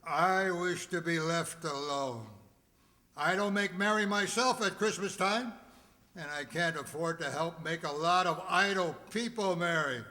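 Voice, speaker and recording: A man acting in a radio play as a grumpy, miserly old businessman, gruff and scornful, barking curtly at people. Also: a little high, bright, forceful tone. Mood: dismissive. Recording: echoey hall with long reverb.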